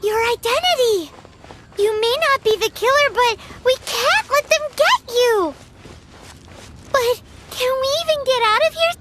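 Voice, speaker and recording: A woman voicing a small, childlike fairy companion in a game, squeaky, excitable and blunt. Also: a little slow, slight background noise. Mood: worried. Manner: speaks of herself by name, indignant exclamations. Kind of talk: animated game voice acting.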